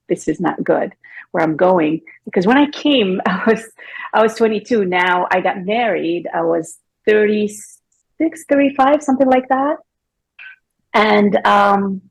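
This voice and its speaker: A woman's voice: lowish voice, gravelly, breathy edge, low-quality recording. A middle-aged woman from Morocco with a Moroccan accent, a guest coach chatting loosely with filler words and restarts. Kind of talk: casual interview talk.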